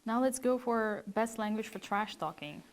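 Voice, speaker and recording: A woman interviewer posing brisk, quick-fire questions to her guest. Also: on the high side.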